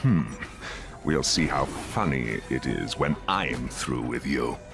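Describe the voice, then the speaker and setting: A man's voice: rumbling low, animated, takes it slowly, exaggerated voice. An actor playing a grandiose, menacing conqueror in a video game, theatrical and pompous as he savours each word. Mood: amused menace. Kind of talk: video game villain acting. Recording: loud music behind.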